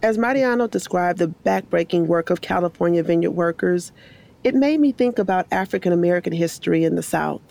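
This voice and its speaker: Gravelly-voiced woman narrating. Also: lowish voice, a little fast, warm tone. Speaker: journalist co-host. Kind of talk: documentary narration.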